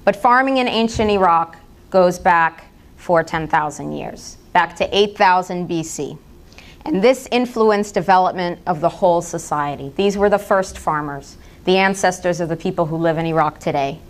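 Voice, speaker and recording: Woman, middle-aged, lecturing, impassioned, direct, lowish smooth voice. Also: normal speed. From United States. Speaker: speaker. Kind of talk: public lecture.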